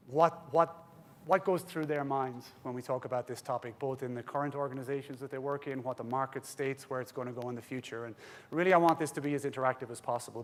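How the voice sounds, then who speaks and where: An Irish man from Ireland moderating a conference panel on stage, joking with the room and talking with frequent "uh" fillers. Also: mid-range voice. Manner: jokey, warm-up banter with pauses and "uh" fillers.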